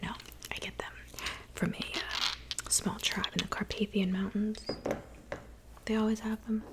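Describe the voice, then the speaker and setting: A kindly, slightly fussy witch of the woods, played by a woman, speaks in a soft, breathy whisper. Her pitch sits in an average female range. She is gentle, attentive and unhurried, as suits an ASMR potion-making roleplay. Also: little pitch movement, close to an everyday voice. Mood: gentle, busy. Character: kind, fussy woodland witch mixing love potions.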